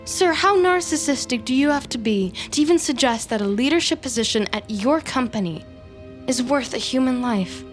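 High-pitched, rattled office schemer, music behind. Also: female voice, expressive, normal speed. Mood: wounded, indignant. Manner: agitated, stammering, dramatic. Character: rattled, defiant office team leader hiding murders. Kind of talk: audio fiction acting with confiding monologue.

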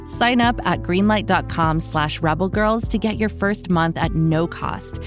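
A woman's voice: lowish voice, a little slow, over music. A woman reading a scripted podcast advertisement as an announcer. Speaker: sponsor message announcer.

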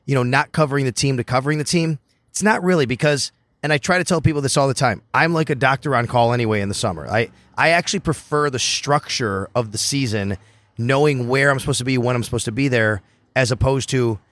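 A man with a hoarse, raspy voice, chatting casually as a podcast guest about his work as a sports reporter, telling stories in a quick, animated way. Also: medium pitch, no echo. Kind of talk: casual chat. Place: bar or restaurant.

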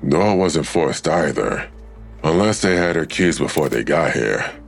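Very deep, gravelly male detective. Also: animated, measured pace, faint music behind, heavily acted. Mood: brooding, intent. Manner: terse, brooding, questioning. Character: terse, probing male police detective. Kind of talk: audio drama acting.

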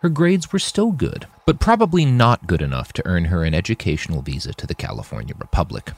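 A man reading scripted fiction narration in an expressive, dramatic storytelling manner. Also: deep voice, brisk, creaky. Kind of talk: scripted audiobook-style narration of a dystopian war novel.

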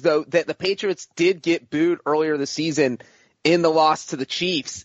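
A man's voice: on the high side, dry recording. A man co-hosting a sports podcast, laying out his points steadily. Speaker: podcast co-host. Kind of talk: casual sports talk. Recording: dry lo-fi podcast mic.

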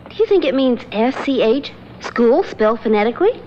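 A woman acting in a 1970s radio drama, nervous and hesitant, stammering, on a lo-fi radio recording with clear hiss. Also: fairly high pitch, big pitch swings, fairly quick.

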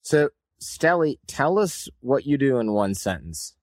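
A man hosting a podcast, reading a brisk, upbeat promo and interviewing a guest. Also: mid-range voice, sing-song, noisy background. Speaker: podcast host. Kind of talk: scripted promo read and interview questions.

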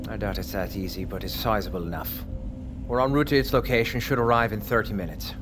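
A man's voice: lowish voice, fast, over music, lightly acted. An actor playing a businesslike man in command of a sea expedition in an audio drama, briefing matter-of-factly. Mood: businesslike, brisk. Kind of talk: immersive audio drama acting.

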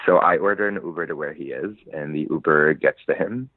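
A man's voice: rumbling low, fairly quick, no echo. A man from Lebanon, a musician being interviewed, talking thoughtfully and laughing as he tells stories. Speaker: musician guest. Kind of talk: conversational interview. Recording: dry tinny phone-like line.

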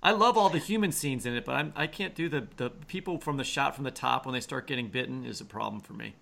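A man's voice: mid-range voice, fast, gravelly, fairly bright tone. A middle-aged man co-hosting a podcast in a casual, chatty style. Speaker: podcast co-host. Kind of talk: casual chat.

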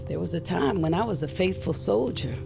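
An actress playing a guarded, earnest middle-aged witch on the run from her crime family, confiding in an intimate audio drama with a gravelly voice. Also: lowish voice, expressive, fairly quick. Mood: bitter, earnest. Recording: music under the voice. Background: music.

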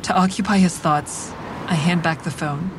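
Over clear rumbling traffic noise, an actress plays a hard-edged woman narrating her own drama. Her voice is on the low side, and her delivery is wry, frank and deliberate, in clipped sentences. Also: fairly even intonation, lightly acted. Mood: calculating, intimate. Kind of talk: scripted first-person dramatic narration.

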